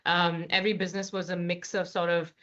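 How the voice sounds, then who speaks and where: Low bright-voiced Indian woman. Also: little pitch movement, brisk. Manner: articulate, many fillers and restarts. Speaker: guest, managing director. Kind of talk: podcast interview, conversational. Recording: slightly dull lo-fi sound.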